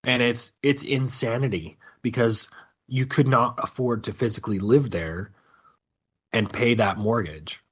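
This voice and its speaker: A man speaking as a podcast guest in casual, opinionated discussion, thinking aloud in a halting way. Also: medium pitch, fairly bright tone, breathy edge. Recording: dull lo-fi call audio.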